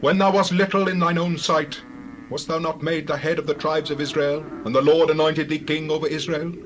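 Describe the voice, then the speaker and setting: Stern biblical prophet, played by a man, solemn declaimer, slightly high male voice, faint background noise. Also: flat delivery, brisk, natural voice. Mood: stern, rebuking. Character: stern, righteous prophet rebuking a king. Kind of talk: dramatized scripture reading.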